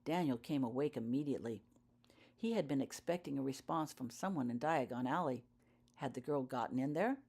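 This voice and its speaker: A woman's voice: very deep voice, fairly quick, creaky, dark tone, husky. A woman reading her own fiction aloud as audiobook narration, telling the story in character-focused prose.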